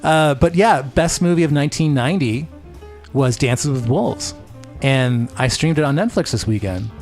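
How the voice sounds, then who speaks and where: A man's voice: mid-range voice, moderate pace, a little mellow. A man hosting a podcast in a radio studio, chatting casually and rambling, with lots of "like" and "um". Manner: rambling, heavy use of "like" and "um". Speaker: podcast host. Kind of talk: casual chat. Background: faint background music.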